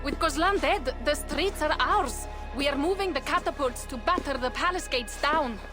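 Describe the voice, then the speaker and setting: Woman playing a grave, high-voiced war ally. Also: moderate pace, music in the background, natural voice. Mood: grave, businesslike. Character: grave, commanding female war ally reporting on battle. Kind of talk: dramatic video game acting.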